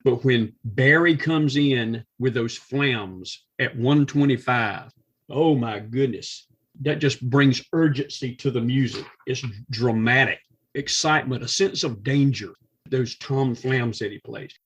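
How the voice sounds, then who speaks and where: A man with a husky, slightly rough voice at an average male pitch. He is a drummer guesting on a podcast and talks about music casually, leaning hard on his words with real enthusiasm. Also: a little slow, highs cut off. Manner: emphatic, enthusiastic.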